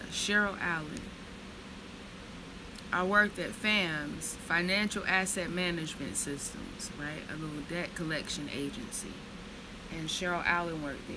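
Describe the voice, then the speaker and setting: A woman in her thirties reads slowly and reflectively from a book, then slips into blunt, profane, casual storytelling. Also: sits low, noisy background. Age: 30s. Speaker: vlogger reading from a book.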